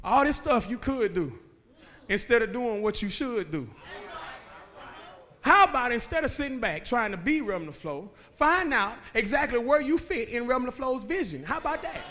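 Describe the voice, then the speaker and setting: Bright high-voiced shouting preacher. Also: male voice, very animated, moderate pace. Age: 30s. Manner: conversational preaching that builds to shouting, with laughs and rhetorical questions. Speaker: guest preacher. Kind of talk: sermon. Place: church sanctuary.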